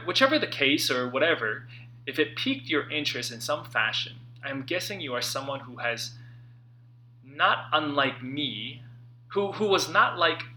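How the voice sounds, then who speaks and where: Bright, sharp-voiced man reading earnestly. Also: on the high side, low-quality recording. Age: late 30s. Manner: conversational, earnest, dramatic pauses. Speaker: author reading own book. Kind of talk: personal audiobook narration, motivational.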